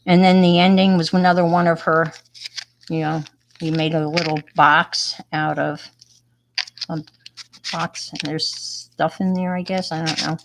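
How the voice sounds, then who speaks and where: Chatty New York woman, low voice. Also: level tone, a little slow, noisy background. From Long Island, New York. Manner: rambling, self-deprecating, chatty with fillers. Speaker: retired teacher and hobby artist. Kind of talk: casual show-and-tell chat.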